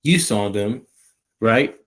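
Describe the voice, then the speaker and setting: Man acting slow-spoken, bashful middle-aged toy salesman. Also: on the low side, lively intonation, a bit bright, exaggerated voice. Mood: subdued, considerate. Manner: hesitant, measured. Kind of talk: 1940s radio play acting.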